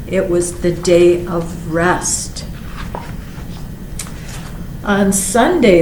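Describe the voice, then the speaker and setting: A woman reads a picture book to children at a slow, measured pace. She is expressive, stretching out words and slipping in short asides. The recording is roomy and echoey, with faint noise behind her. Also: on the low side. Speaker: library storytime reader.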